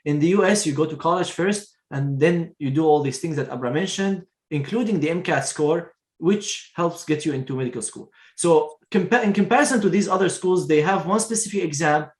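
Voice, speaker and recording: A man's voice: a little high, a little fast, few pauses, reverberant. A man hosting a video interview, enthusiastically praising his guest and asking her questions. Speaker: host.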